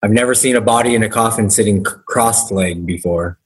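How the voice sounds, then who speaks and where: A man's voice: lowish voice, a little fast. A man in a casual group discussion who makes dry, skeptical quips.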